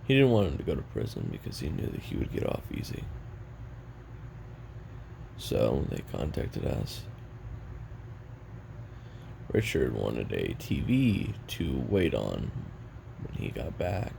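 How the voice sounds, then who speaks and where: An actor playing a weary, regretful young assassin in an audio roleplay, making a halting, brooding confession in a gravelly voice. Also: male voice, very deep voice, wildly swooping pitch, background noise, full character voice. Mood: grim, matter-of-fact. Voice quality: gravelly, creaky. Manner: halting, brooding, casually profane.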